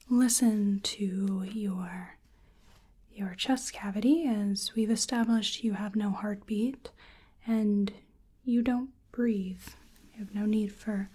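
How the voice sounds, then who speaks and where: Soft whispery asmr lady playing a curious polite researcher, really mellow n breathy, takes her time. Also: medium pitch. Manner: gentle, hesitant, polite, unhurried. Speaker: ASMR roleplay performer. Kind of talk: soft-spoken whispered ASMR medical exam roleplay.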